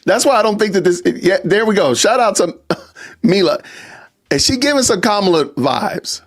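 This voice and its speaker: A man's voice: high voice, animated, normal speed. A man hosting a live stream podcast, talking casually to his chat and repeating rhetorical questions. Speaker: podcast host.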